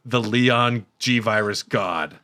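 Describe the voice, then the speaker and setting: A man's voice: on the low side, extremely slow, sharp tone, no room sound. A man co-hosting a podcast, reading out a silly plot summary and trading wry, casual jokes. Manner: wry, joking. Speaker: podcast co-host.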